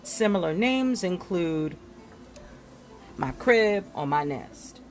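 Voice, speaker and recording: A woman reading glossary entries aloud from a book in an expressive style, giving headwords deliberate, dramatic emphasis. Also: lowish voice, faint music behind. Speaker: reader of a book.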